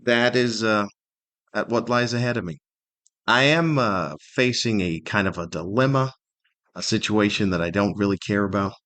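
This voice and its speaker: A man's voice: lowish voice, moderate pace, a bit bright. Wry, rambling man hosting a podcast. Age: middle-aged or older. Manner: wry, rambling, conversational, with rhetorical questions. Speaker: podcast host. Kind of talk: casual solo podcast monologue with humor.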